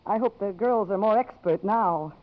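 An actress playing a poised, strong-willed middle-aged career woman in a 1950s radio drama, crisp and commanding, hesitating when moved. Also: mid-range voice, measured pace, close to an everyday voice. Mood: rueful, tender. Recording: muffled vintage 1950s radio recording.

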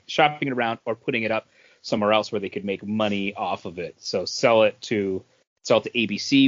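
A man's voice: fairly low pitch, fairly bright tone, no echo, highs cut off. A man co-hosting a podcast in casual conversation. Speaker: podcast co-host. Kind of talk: casual chat.

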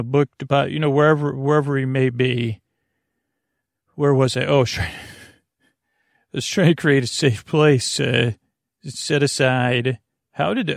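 Creaky-voiced man rambling through a podcast intro. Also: mid-range voice, no echo, studio quality. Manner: halting, tangent-prone, many fillers and restarts; playful, muses on words. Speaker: sleep podcast host.

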